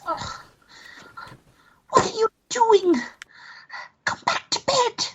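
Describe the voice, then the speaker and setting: A woman's voice: very high-pitched, lively intonation, very slow, a bit bright, full character voice. A woman playing an amorous, possessive lover in a radio play, sighing and cooing theatrically. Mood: possessive, indignant.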